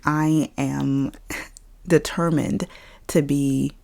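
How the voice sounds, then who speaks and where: A woman hosting a podcast in a casual, chatty monologue, her voice slightly raspy from a scratchy throat, laughing often between thoughts. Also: low-pitched, steady tone, drawn-out words, dark tone. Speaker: podcast host.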